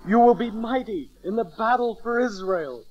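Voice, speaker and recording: A man's voice: high voice, normal speed. A man acting an eager, awestruck shepherd in a dramatized audio Bible. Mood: awed, eager. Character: awestruck, eager shepherd visiting the newborn Messiah. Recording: dull lo-fi cassette transfer.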